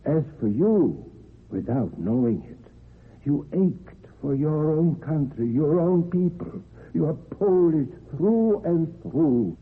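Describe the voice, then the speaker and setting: An actor playing a calm, hypnotic male spirit from another world, in old-time radio drama style. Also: fairly high pitch, breathy edge, heavily acted. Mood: solemn. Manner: slow, solemn, hypnotic, reassuring. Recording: dull lo-fi old radio recording, hiss.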